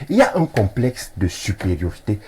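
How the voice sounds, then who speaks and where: A middle-aged man, a professor arguing on a TV panel, insistent and halting, often restarting his sentences. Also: fairly low pitch, sing-song, a little mellow, slight background noise. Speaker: professor and debate guest. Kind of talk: heated TV panel debate.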